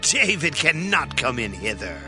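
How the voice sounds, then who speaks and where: An actor playing a mocking, defiant defender of an ancient stronghold in a dramatized scripture audiobook, scornful and taunting. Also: male voice, medium pitch, sing-song, measured pace, music in the background.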